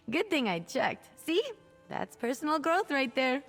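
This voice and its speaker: A woman voicing a cheeky, impulsive young apprentice mage in a video game, chatty, playful and expressive. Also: sits high, slow, soft background music, full character voice. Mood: playful, musing. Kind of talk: video game character dialogue.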